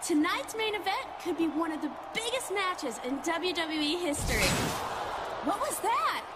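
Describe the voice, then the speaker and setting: An actress playing a young backstage wrestling interviewer in a video game, easily frightened. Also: female voice, extremely high pitch, sing-song, moderate pace. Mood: announcing, startled. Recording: loud background noise.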